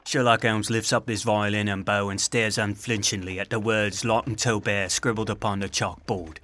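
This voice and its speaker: A man narrating a scripted audio drama in a measured storytelling manner. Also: fairly low pitch, steady tone, a bit bright, slight background noise.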